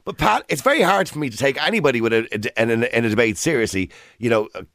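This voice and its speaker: An Irish man hosting a radio phone-in, quick and animated as he steers a debate and jokes with callers. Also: mid-range voice, no echo, clean studio sound. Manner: animated, interrupting, quick banter. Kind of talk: lively talk radio debate. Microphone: studio microphone.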